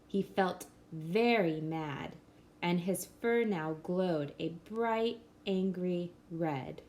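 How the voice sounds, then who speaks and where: A woman reading a children's picture book aloud in a gentle storybook manner. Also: on the low side, extremely slow.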